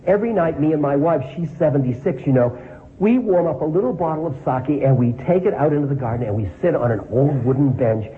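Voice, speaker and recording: A man voicing a tiny, cheerful old man in his seventies who talks with gentle delight, heard through a tinny lo-fi line. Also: fairly high pitch, expressive, fast, natural voice. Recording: tinny phone-like lo-fi sound.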